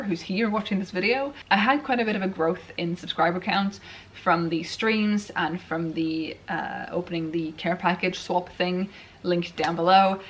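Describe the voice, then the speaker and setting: Low-voiced woman chatting on a vlog. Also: a little hiss or hum. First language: Swedish. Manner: chatty, self-deprecating, rambling with restarts. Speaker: writing vlogger. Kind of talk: casual chat to camera.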